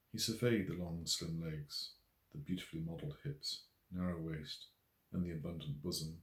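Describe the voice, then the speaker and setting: A man reading a novel as its author in a measured, literary way with expressive emphasis. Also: deep voice. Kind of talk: scripted audiobook narration. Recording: roomy, echoey voice recording.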